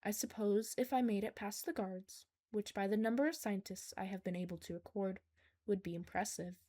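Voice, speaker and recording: A woman plays a whiskered, highly intelligent creature held captive in a story. It speaks in measured, precise sentences. Its manner is analytical and unemotional, made for an audiobook. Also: steady tone, mellow, close to an everyday voice. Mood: calculating. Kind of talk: audiobook character dialogue.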